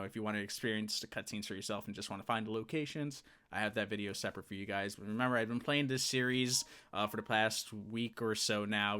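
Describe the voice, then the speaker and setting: A man's voice: fairly low pitch, sharp tone, smooth. A man talking casually and informally to viewers as the host of a gaming channel, rambling a little. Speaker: gaming video creator and host.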